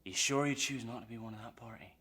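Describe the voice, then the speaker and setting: A man playing an embittered, defiant pirate mutineer in a theatrical monologue, brooding and swinging between hushed menace and impassioned outbursts. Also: medium pitch, fast, slightly rough. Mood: taunting, fervent. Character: embittered, defiant English pirate mutineer, a former pressed sailor.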